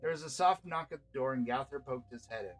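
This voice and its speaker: A man's voice: medium pitch, quick pace, soft background music. A man reading fantasy story narration as the host and narrator of a game.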